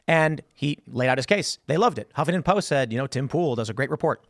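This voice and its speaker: Homem apresentador de podcast, voz seca. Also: male voice, medium pitch, a little fast, dry recording, clean studio sound. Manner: animated storytelling with many restarts and gestures. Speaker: podcast host. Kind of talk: political talk show discussion. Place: studio. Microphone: studio mic on boom arm, headphones.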